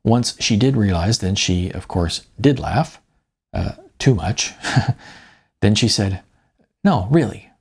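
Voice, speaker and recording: A man's voice: fairly low pitch, slow, gravelly, breathy edge. A man reading his own novel as an audiobook in a wry, conversational first-person manner. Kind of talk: first-person audiobook narration with acted dialogue.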